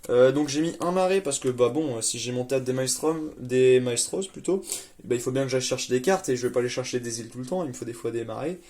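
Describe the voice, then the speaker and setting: A young man chats casually about his card game deck at a medium pitch. He sounds laid-back and a little hesitant, filling pauses with small sounds as he goes through the cards one by one. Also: darkish tone. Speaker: deck presenter.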